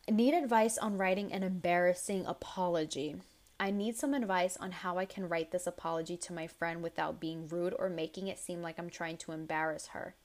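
Blunt, tired young woman with a raspy voice. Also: lowish voice. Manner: blunt, chatty, swears freely. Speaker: podcast host. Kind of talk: casual advice podcast, reading stories aloud.

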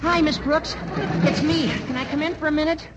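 An actor playing an eager, cocky teenage schoolboy in a 1940s radio sitcom. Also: male voice, very high voice, moderate pace. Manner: cocky, excitable. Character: eager high-voiced teenage schoolboy, brash. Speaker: student. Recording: hissy old radio broadcast.

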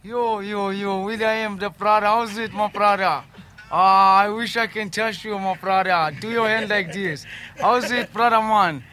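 A man's voice: high voice, steady tone, unhurried, crowd noise. A man in his twenties with a South African accent, a studio guest who talks emotionally and keeps repeating himself, calling everyone brother. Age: 20s.

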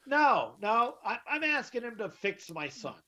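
A middle-aged man with a deeper voice, telling his story as an interview guest, emphatic and often repeating himself. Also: sing-song, unhurried, sharp tone. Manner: emphatic, repeats and restarts words. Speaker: guest, author. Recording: dull lo-fi sound.

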